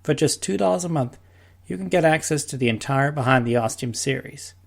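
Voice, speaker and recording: A man hosting and producing a podcast, reading a scripted introduction and sponsor pitch in a smooth, upbeat announcer manner into a close studio mic. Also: fairly quick. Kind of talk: scripted promo read.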